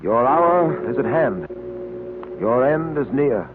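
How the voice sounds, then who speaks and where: An actor playing a steady, commanding detective hero in a 1930s radio drama, on a tinny old recording. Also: male voice, medium pitch, slow, background noise. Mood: calm command. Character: steady, commanding heroic male police detective.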